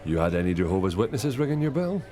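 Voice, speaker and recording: Low-voiced, cheeky deadpan pub man. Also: fast talker. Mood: deadpan, joking. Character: gruff, cheeky pub regular with deadpan menace. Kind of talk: naturalistic radio soap acting.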